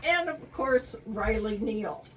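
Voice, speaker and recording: A woman's voice: medium pitch, animated, takes it slowly, a bit bright, far from the mic. A woman hosting a local radio interview in a studio, chatty and upbeat. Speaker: radio host. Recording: faint noise, off-mic in studio.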